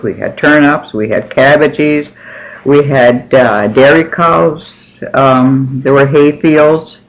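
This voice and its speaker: An older man whose first language is Finnish, recalling his childhood slowly in an oral history interview, with many pauses and restarts. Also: medium pitch. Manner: slow, halting, many ums and restarts. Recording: dull lo-fi recording.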